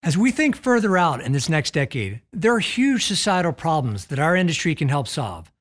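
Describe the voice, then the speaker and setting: Man, middle-aged, American accent, slightly high voice, very varied intonation, emphatic executive keynote, scripted. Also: big pitch swings, normal speed, fairly bright tone. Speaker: telecom executive giving a keynote. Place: indoor studio with plain backdrop.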